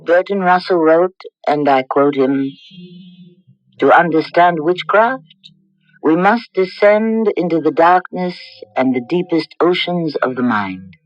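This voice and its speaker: A woman hosting a radio drama, narrating a script in a measured, formal manner. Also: low voice. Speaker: host and narrator. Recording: dull lo-fi radio recording over music. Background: music.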